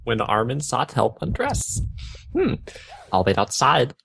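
A man's voice: medium pitch, huge pitch swings, a little slow, vocal fry, a bit bright, a little hiss or hum. A young man reading a story aloud, stumbling over garbled wording and breaking off with bemused asides. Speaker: fan fiction reader on a gaming video.

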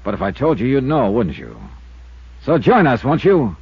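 Casting: wry, probing detective for vintage radio serial, animated mid-pitched voice, muffled lo-fi sound. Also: male voice, normal speed. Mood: teasing. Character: cool, probing, wry male investigator. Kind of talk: 1950s radio detective acting. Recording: muffled lo-fi 1950s radio recording.

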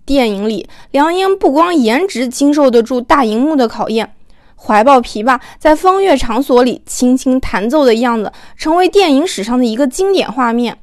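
A woman's voice: high voice, expressive, moderate pace, no room sound, clean studio sound. A woman narrating an audiobook in a storytelling style, acting out the dialogue as she goes.

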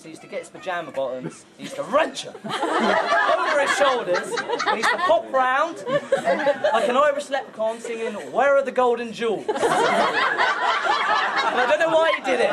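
A young man in his twenties giving a joking best man speech at an outdoor wedding, telling stories and chuckling as he goes. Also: high voice. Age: 20s. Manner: joking, storytelling, chuckling through lines. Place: outdoor pub garden at a wedding. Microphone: far camera. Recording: crowd laughter and loud noise from a far camera. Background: laughing wedding crowd.

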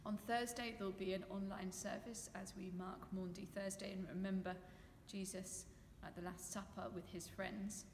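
A woman's voice: medium pitch, level tone, moderate pace, hardly any pauses. Echoey British woman vicar. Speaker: vicar leading the service. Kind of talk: church notices, addressed to congregation. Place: stone church interior. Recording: echoey church with long reverb, faint noise.

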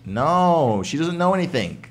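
A young man giving casual, excitable, jokey playthrough commentary as a gaming host. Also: on the high side, a little slow, a little hiss or hum. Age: young adult. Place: small room, seated indoors. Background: game's film music.

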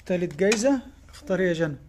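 An Egyptian man talks at a brisk clip as he shows off giveaway prizes in his tackle shop. He keeps checking in with "tamam?" and nudges the children helping him, recorded on a handheld phone with faint shop noise around him. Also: high-pitched, animated, a little mellow. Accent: Egyptian Arabic. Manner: quick, repeats "tamam?" to check in, coaxing children along. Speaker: fishing tackle shop owner. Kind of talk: casual shop video presenting giveaway prizes. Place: indoor fishing tackle shop.

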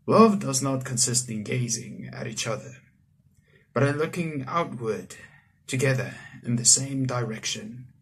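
A man in his late teens hosting a let's play, reading visual novel text aloud and breaking into animated asides. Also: mid-range voice, unhurried, slightly rough. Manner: animated asides, exclaiming between read passages. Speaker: gaming channel host. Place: small room indoors at home.

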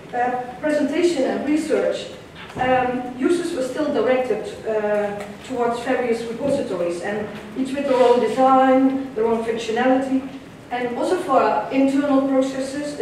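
A woman with a Dutch accent giving a conference presentation in a large lecture hall, measured and hesitant, with frequent ums and restarts. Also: sits high, a little hiss or hum. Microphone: room camera far from the stage. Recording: echoey lecture hall from far off.

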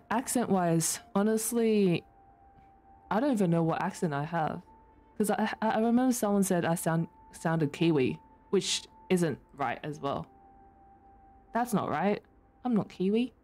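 A woman streamer from Australia with an Australian accent, whose first language is not English, chatting casually with her chat, laughing often and breaking into high squeals. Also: mellow. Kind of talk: casual chat reading chat messages.

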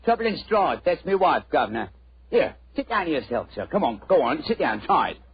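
An actor playing a cheery, pushy furniture remover with a Cockney accent and husky voice, in 1940s radio style. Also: male voice, a little high, big pitch swings, brisk, exaggerated voice. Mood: chummy, coaxing. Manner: chummy, coaxing, rhyming slang. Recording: muffled old radio recording.

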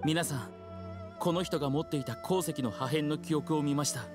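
A man's voice: a little high, normal speed, music in the background, close to an everyday voice. A man playing an earnest young helper in an anime game, speaking politely and deferentially. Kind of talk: anime video game voice acting.

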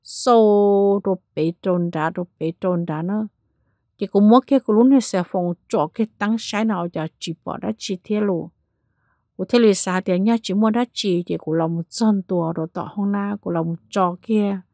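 Female storyteller, mid pitch, animated delivery, dry close recording. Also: moderate pace, no room sound. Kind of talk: spoken ghost story narration.

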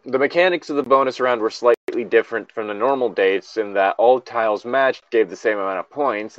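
A man's voice: fairly low pitch, dry recording, muffled recording. A man co-hosting a casual, joking commentary, exasperated and swearing freely, sometimes reading aloud. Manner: exasperated, profane. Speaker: co-host reading and mocking internet horror fiction.

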